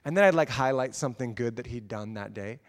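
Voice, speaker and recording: A man preaching a sermon, telling personal stories in a lively way and acting out what people said. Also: fast talker. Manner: animated, acts out quotes with big pitch jumps. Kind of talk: storytelling sermon. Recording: long hall reverb.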